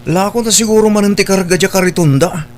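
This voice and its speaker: A man's voice: high-pitched, fast talker, slight background noise, lightly acted. An Ilocano-accented man playing an easygoing, curious village husband in an audio drama. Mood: mild. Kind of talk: Ilocano radio drama dialogue. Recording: music behind the voice.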